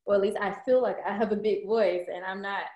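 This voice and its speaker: A woman's voice: mid-range voice, steady tone, fast talker, darkish tone, echoey room, highs cut off. A woman on stage as a seminar guest, answering questions hesitantly with many restarts. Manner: hesitant, restarts, laughs a lot. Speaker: seminar guest in the hot seat. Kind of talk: live stage conversation.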